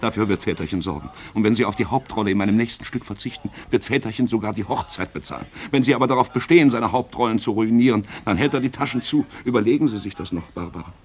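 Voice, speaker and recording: An actor playing a worldly, paternal older playwright in a 1950s radio play, eloquent and drily ironic. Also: male voice, on the low side, quick pace, few pauses, a little mellow, close to an everyday voice. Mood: teasing, firm. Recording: noisy 1950s radio drama recording.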